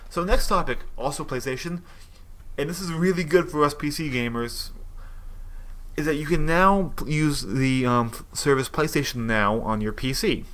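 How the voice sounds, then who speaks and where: A man's voice: fairly high pitch, normal speed, fairly bright tone. A young man giving casual, opinionated gaming commentary, rambling and restarting his sentences as he thinks aloud.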